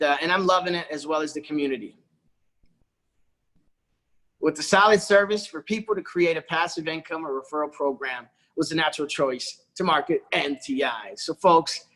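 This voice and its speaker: Persuasive man pitching on a video call. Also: a bit bright. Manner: persuasive, repeats "folks" and "okay". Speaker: presenter on a corporate call.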